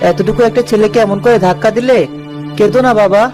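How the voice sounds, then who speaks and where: A man's voice: high-pitched, fairly even intonation, quick pace, close to an everyday voice. A man playing a compassionate villager in a cartoon story. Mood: reproachful, consoling. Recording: music behind the voice.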